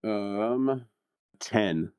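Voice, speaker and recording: A man's voice: fairly low pitch, drawn-out words, darkish tone, slight background noise. A man chatting casually as a player in a tabletop fantasy game.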